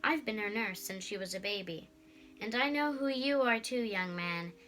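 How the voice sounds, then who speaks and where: A young female reader voicing a protective, brisk elderly nurse in a storybook. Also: medium pitch, animated, a bit bright, soft background music, close to an everyday voice, rough recording. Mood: warning.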